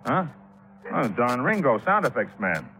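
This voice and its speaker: A man's voice: mid-range voice, very animated, unhurried, sharp tone. An American actor playing a blunt, slangy, defensive sound effects man in a 1950s radio drama. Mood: brusque.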